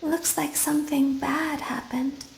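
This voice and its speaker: A woman voicing a creepy, murderous animatronic female in a horror game, in a breathy whisper. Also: sits high, steady tone, unhurried, slight background noise. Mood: eerie menace. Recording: echoing.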